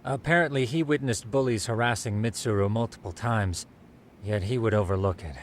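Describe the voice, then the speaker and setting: A man's voice: lowish voice, a little fast, a little hiss or hum, natural voice. An actor playing a polite, knowledgeable male investigator in a video game, talking formally and explaining details.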